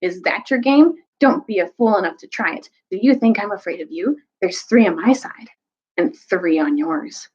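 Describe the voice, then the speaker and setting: A woman's voice: medium pitch, lively intonation, normal speed, darkish tone, slightly rough, dull audio. A woman voicing a bitter male dwarf rebel in a storybook reading, scornful, snappish and argumentative. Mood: angry, defiant.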